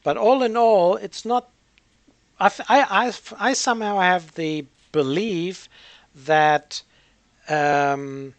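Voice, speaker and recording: Higher-voiced man rambling through game commentary. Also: takes it slowly, no echo. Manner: hesitant, rambling, thinking aloud. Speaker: gaming channel host. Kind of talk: casual gameplay commentary.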